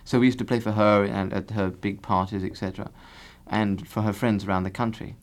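A man playing a pompous, rambling bass singer. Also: fairly even intonation, a little fast, lightly acted. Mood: grandiose. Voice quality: pompous, rambling, fussy correcting. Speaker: half of a singing duo. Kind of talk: improvised comic interview.

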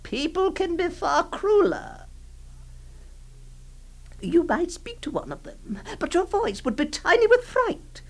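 A woman playing a trembling, high-voiced possessive aunt. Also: sing-song, takes it slowly, long pauses, full character voice. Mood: ominous. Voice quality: tremulous, hushed and pleading. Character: doting, possessive aunt soothing a girl. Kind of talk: dramatic monologue reading.

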